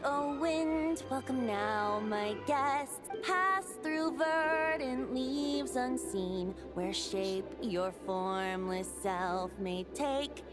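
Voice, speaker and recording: A woman voicing a playful, carefree boyish bard who is a wind god in an anime video game, with a lilting, theatrical, poetic delivery. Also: high-pitched. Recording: game music behind the voice.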